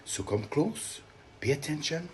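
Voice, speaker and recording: A man with a Vincentian accent telling stories to children, expressive and deliberate, with dramatic emphasis. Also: on the high side, slight background noise. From Saint Vincent and the Grenadines. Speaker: children's storyteller and author. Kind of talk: live storytelling to children.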